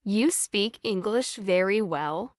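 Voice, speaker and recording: A woman speaks short English phrases at a very slow, deliberate pace, separating each word for learners to repeat. Her voice sits at a middle pitch and is recorded close and dry. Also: expressive, no echo. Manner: careful word-by-word dictation. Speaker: English teacher. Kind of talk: slow model sentences for repetition drills.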